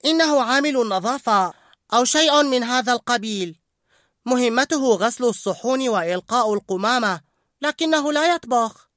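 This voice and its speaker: A woman's voice: on the high side, no echo, studio quality, voice pushed up. A woman voicing an eager young male rat who loves cooking and likes showing off what he knows. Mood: dismissive. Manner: excited, quick to show off.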